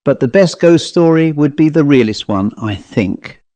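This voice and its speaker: A man plays a dapper little commercial traveller from a storybook, pitched a bit above his narrating voice. He is brisk, picky and sure of his opinions, recorded dry. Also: lively intonation, warm tone, dry recording, close to an everyday voice. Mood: confident. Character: dapper, bright-eyed man, smart, opinionated, argumentative traveller.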